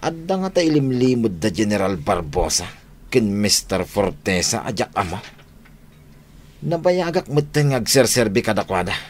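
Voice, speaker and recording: A low male voice with slight background noise, the watchful right-hand man of powerful bosses. He speaks in the dramatic manner of an Ilocano radio serial, loyal to those he serves. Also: big pitch swings, moderate pace. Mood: brooding.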